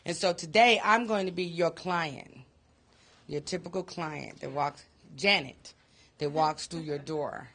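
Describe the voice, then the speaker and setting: A woman who directs a community program, introducing herself at a conference with a loud, projecting voice. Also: low voice, measured pace, slightly rough. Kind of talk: conference presentation. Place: conference room.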